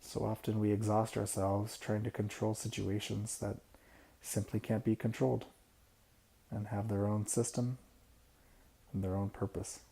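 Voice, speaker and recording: A man leading a guided imagery meditation, giving soft-spoken, unhurried instructions with pauses between phrases. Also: lowish voice, flat delivery, creaky, slightly rough.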